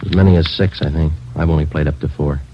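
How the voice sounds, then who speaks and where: An actor playing a terse, no-nonsense police sergeant in 1940s radio style, clipped and matter-of-fact. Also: male voice, extremely low pitch, fairly even intonation, quick pace, noisy background. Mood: patient, matter-of-fact. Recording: muffled vintage radio recording, music behind.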